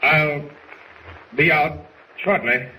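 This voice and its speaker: An actor playing a stern, honourable older submarine commander in 1940s radio drama, with a grave, commanding manner. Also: male voice, fairly high pitch, fairly even intonation, extremely slow, sharp tone, heavily acted. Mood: alarmed, tense. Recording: noisy, roomy 1940s radio recording.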